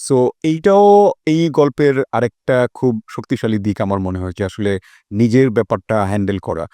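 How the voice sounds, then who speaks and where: Mellow-voiced man hosting a discussion. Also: mid-range voice, moderate pace. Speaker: discussion host. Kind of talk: moderating a literary discussion.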